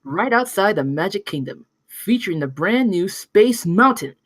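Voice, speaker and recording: A woman's voice: mid-range voice, expressive, normal speed, a bit bright, dry recording. A woman reading an inviting 1970s promotional film announcer in a script table read, with a polished sales delivery.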